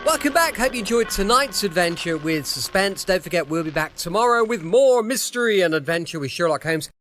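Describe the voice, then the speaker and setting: A man's voice: high-pitched, animated, brisk, a bit bright, soft background music. A man hosting a show, addressing listeners in a presenter's style. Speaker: host.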